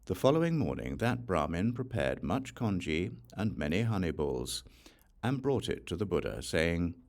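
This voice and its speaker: A man reading scripture as an audiobook, narrating in a measured, deliberate storytelling way. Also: low-pitched, sing-song, a little mellow. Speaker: audiobook reader.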